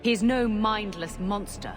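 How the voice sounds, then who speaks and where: A woman's voice: mid-range voice, unhurried, natural voice. A woman playing a zealous Grey Warden unit leader in a fantasy video game, earnest, commanding and insistent. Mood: zealous insistence. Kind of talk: dramatic video game acting. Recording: long hall reverb, faint music behind.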